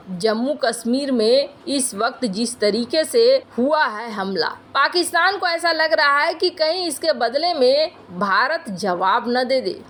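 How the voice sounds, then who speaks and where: A woman's voice: high voice, fairly bright tone. A woman news presenter narrating scripted reports in a conversational, explanatory way, addressing listeners directly.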